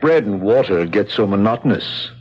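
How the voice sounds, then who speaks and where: An actor playing a sheepish jailer with a little pity, offhand and unsure, in an old radio drama. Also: male voice, lowish voice, expressive, moderate pace, husky. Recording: dull old radio recording with music.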